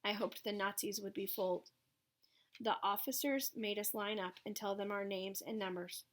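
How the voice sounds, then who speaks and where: A woman's voice: mid-range voice, steady tone, normal speed. A woman narrating a first-person story from a written text, as a reader of an audiobook.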